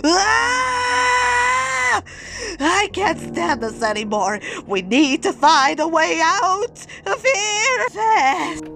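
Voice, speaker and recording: Woman voicing an irritable nerdy anteater, highly dramatic. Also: expressive, drawn-out words, heavily acted. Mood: panicked. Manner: grumbling, sarcastic, dramatic when alarmed. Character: irritable, nerdy, exasperated male anteater cartoon character. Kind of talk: amateur comic dub acting. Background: music.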